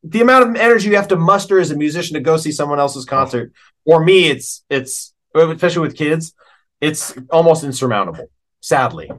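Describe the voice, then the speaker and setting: A man's voice: on the high side, lively intonation, fairly quick, fairly bright tone. Casual American man telling musician stories. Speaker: interview guest, musician. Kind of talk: casual interview chat.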